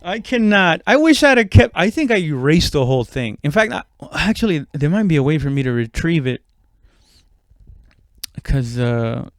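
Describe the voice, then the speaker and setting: A man's voice: a little high, big pitch swings, normal speed, dry recording, clean studio sound. A man giving a casual, rambling comedic monologue, self-deprecating and swearing freely. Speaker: comedian and podcast host.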